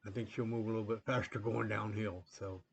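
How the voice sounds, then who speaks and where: A man hosting a train-game playthrough in a rambling, relaxed way, full of fillers, a little sniffly from a coming cold. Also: lowish voice, a little fast, gravelly, slightly rough. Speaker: gaming channel host. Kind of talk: casual gameplay commentary.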